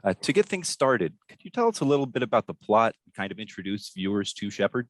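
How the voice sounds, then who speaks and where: A man's voice: on the low side, big pitch swings, fast talker, no echo. A man hosting an interview, politely putting prepared questions to his guest. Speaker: interviewer, host.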